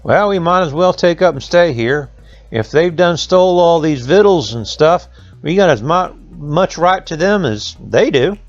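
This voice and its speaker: A man's voice: a little high, lively intonation, unhurried, no room sound, dull audio, faint music behind. A man playing a plucky, plain-spoken young backwoods lad in a folk storybook tale. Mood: wondering, decided.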